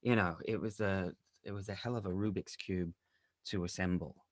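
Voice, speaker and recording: A man's voice: low-pitched, steady tone, normal speed, dry recording, clean studio sound. A man talking casually in an interview as a director, repeating phrases as he searches for words. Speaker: audio drama director.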